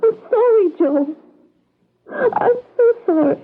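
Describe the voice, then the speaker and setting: An actress playing a troubled, secretive young wife in a radio drama, speaking in a halting, guarded way. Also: female voice, very high voice, lively intonation, exaggerated voice. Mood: tearful, strained. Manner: halting, guarded, repeats herself. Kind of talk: old-time radio drama acting. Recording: dull, band-limited 1980s radio recording.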